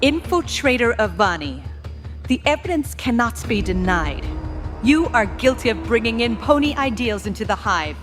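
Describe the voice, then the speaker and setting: An imperious changeling queen played by a woman passes judgment in a stern, regal drawl. Her voice is a little high, with music under it, in the manner of a fantasy audio drama. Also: very animated, normal speed. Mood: coldly commanding. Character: imperious cold changeling insect queen, a mother.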